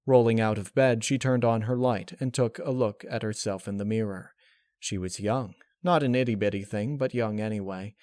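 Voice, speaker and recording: A man reading a dark fan-fiction story aloud as narrator, in a dramatic scripted style. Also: on the low side, moderate pace, dry recording, clean studio sound.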